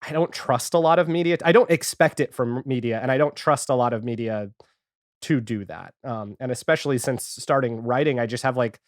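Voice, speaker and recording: A man's voice: medium pitch, a little fast, no echo, studio quality. A man chatting casually as a podcast guest, enthusiastic and rambling, with lots of filler 'like'. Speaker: podcast guest, audio fiction creator. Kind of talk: casual chat.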